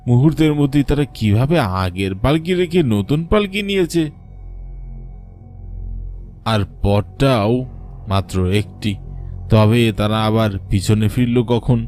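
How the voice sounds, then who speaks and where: A man's voice: medium pitch, expressive, measured pace, pauses often, darkish tone, soft background music, lightly acted. A man playing a weary, frightened village rickshaw puller, telling a cartoon ghost story in an anxious, suspenseful, wondering way. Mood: alarmed, mystified. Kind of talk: dramatic first-person horror story narration for a cartoon.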